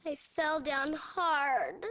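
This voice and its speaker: A woman playing a frightened, wounded emergency patient in a live-action video game, pained and halting. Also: high voice, lively intonation, sharp tone, exaggerated voice.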